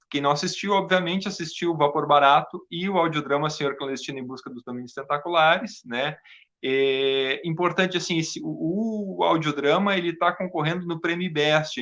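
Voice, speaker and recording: Through slightly muffled lo-fi audio, a man speaks in a fairly high, bright voice. He talks casually as an interview guest, restarting and repeating words often. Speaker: interview guest, theatre artist and video editor.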